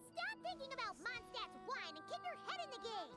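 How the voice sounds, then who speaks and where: A woman voicing a tiny floating fairy companion girl, bossy, excitable and scolding in a game. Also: a little fast, faint music behind, full character voice. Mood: impatient. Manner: scolding, shrill and impatient. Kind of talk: video game voice acting.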